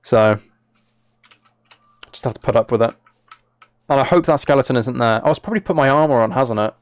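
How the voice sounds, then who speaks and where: A man's voice: mid-range voice, fairly quick, no room sound. A British man chatting casually on a game livestream, talking to viewers in a mock-exasperated, self-deprecating way. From United Kingdom. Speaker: live streamer.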